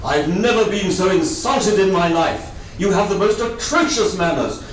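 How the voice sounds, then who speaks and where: A man's voice: lively intonation, moderate pace. A man voicing a choleric, distinguished philosophy professor, roaring with indignation, in a story read aloud. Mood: furious. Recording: very echoey hall, distant mic, noisy rumble.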